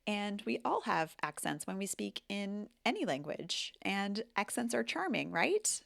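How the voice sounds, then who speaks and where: A woman's voice: medium pitch, very animated, unhurried, vocal fry, a bit bright. A woman in her forties with an American accent, a language teacher talking candidly and with self-deprecating humor in a casual vlog. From United States. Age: 40s. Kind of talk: casual reflective vlog talk.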